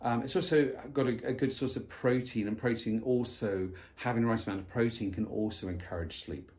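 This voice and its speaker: A man giving sleep advice in a casual chat, often pausing with fillers and restarting his sentences. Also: medium pitch, fairly even intonation, a little mellow. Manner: hesitant, many fillers and restarts. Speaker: co-host.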